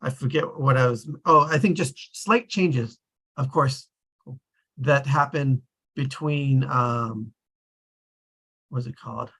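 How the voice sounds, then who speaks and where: A man's voice: mid-range voice, slow pace, long pauses, fairly bright tone, slightly rough. A man hosting a casual group discussion in a tentative, rambling way. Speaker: discussion host.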